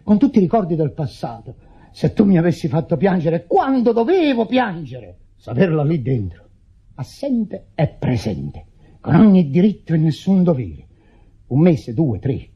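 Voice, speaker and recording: Casting: tormented widower in radio drama, husky, widely swinging delivery, impassioned and accusing. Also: male voice, a little high, dark tone, heavily acted. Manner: impassioned, declamatory, anguished. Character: guilt-ridden brother, tormented widower, melodramatic and accusing. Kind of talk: theatrical Italian radio drama acting. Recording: muffled old radio broadcast recording.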